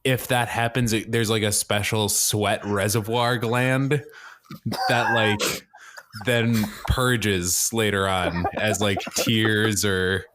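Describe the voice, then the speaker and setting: Low-voiced man improvising at a tabletop game. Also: flat delivery, takes it slowly, a bit bright, slight background noise. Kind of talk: casual improvised game narration.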